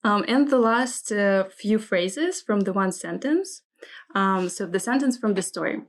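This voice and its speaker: A woman's voice: medium pitch, unhurried. A woman who is a non-native English speaker leads a vocabulary lesson, carefully reading example phrases and asking what they mean. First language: not English. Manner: inquisitive, careful, checking meanings. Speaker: host of a vocabulary segment.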